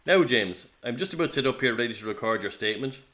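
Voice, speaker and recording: An actor playing a polite, businesslike military bureau interviewer in a radio play, speaking formally with an Irish accent. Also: male voice, mid-range voice, animated, fast talker, fairly bright tone, natural voice. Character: courteous, businesslike military bureau official taking testimony.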